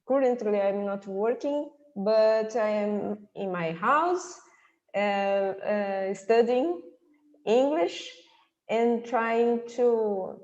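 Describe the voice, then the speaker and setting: Brazilian woman, unhurried and halting, heard through a muffled, echoing call line. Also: medium pitch, darkish tone. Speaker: participant. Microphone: video call. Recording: muffled call audio with long reverb.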